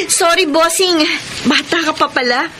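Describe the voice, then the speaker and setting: A woman's voice: very high voice, unhurried, background noise. A woman acting as a hardworking, caring young wife in a radio drama, earnest and polite. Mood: polite, hesitant. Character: hardworking, devoted young wife working a factory job. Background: music.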